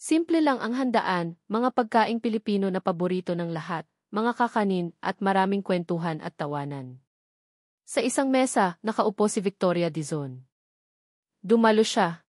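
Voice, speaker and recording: A woman's voice: mid-range voice, animated, moderate pace, darkish tone, no echo. Filipino woman narrating sentimentally, dry close sound. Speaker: story narrator. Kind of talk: scripted narration of a sentimental love story.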